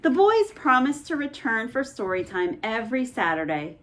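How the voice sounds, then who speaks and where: A woman reading a children's picture book aloud as an expressive bedtime story. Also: fairly high pitch, moderate pace.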